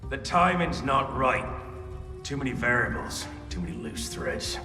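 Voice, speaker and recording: A man playing a cynical, gruff veteran assassin in a video game, blunt and sardonic. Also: medium pitch, sing-song, unhurried, music in the background. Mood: guarded. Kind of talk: dramatic video game dialogue. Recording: roomy echo, faint noise.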